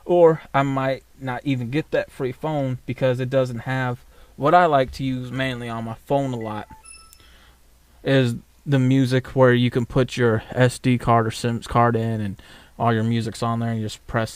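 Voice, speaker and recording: A man from the United States delivers a rambling vlog rant in a blunt, profane, straight-talking way, full of asides and fillers. His voice sits at an ordinary middle pitch, and the sound is dry and close, with no room echo. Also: steady tone, normal speed, clean tone. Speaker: vlogger. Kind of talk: casual ranting monologue.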